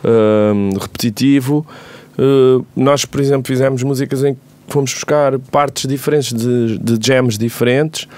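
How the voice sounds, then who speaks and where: A man's voice: mid-range voice, steady tone, unhurried, darkish tone. Casting: male musician, European Portuguese accent, mid-range voice, casual interview chat. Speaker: musician, band member being interviewed. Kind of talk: casual group conversation about making music.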